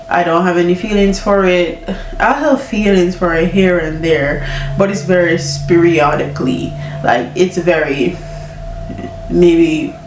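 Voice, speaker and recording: A woman's voice: fairly low pitch, slight background noise. Stuffy-nosed woman chatting candidly at home. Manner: candid, chatty, laughing often. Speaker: vlogger. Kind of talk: casual pregnancy chat. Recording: echoey room, mic at a distance.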